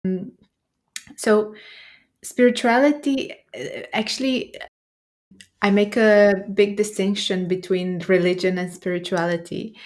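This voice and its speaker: A woman's voice: mid-range voice, fairly even intonation, unhurried, pauses often, a little mellow. A woman from Romania with a Romanian accent, a nervous system coach speaking as a podcast guest in a reflective, hesitant way.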